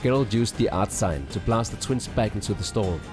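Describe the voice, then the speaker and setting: A man's voice: lowish voice, animated, moderate pace. A man reading scripted fantasy story narration, with music behind him. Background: background music.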